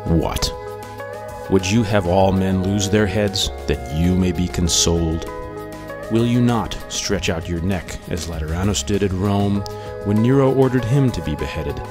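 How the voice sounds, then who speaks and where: A man reading philosophy as a scripted dramatic monologue, in a measured, deliberate manner. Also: sits low. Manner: measured, deliberate delivery in a radio monologue style. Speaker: audiobook reader. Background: music.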